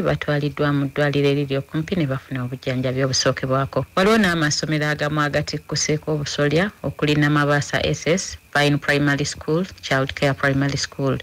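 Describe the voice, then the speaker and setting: A man's voice: medium pitch, a bit bright. A man speaking as a relief agency official, giving a matter-of-fact report. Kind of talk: field report.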